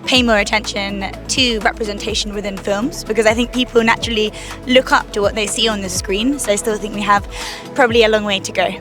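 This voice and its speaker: A woman's voice: medium pitch, steady tone, fairly quick, music in the background. A woman from England, an actress, giving an articulate, considered answer in a street interview.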